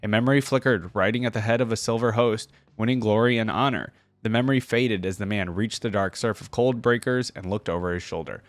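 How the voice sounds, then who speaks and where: A man hosting a reaction, moving between casual commentary and expressive reading of fantasy stories aloud. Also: on the low side, brisk, few pauses, no room sound. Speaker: online reaction host.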